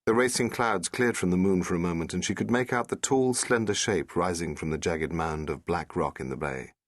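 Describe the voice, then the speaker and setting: A man's voice: low-pitched, moderate pace, no room sound, studio quality. A man reading an audiobook in a dramatic, suspenseful storytelling style. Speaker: audiobook narrator. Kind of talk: dramatic scripted narration.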